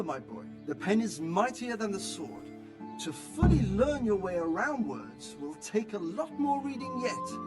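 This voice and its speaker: Lively, high-pitched learned male tutor. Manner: erudite, theatrical, encouraging. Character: learned, encouraging male scribe tutoring a young man. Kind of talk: medieval video game cutscene acting.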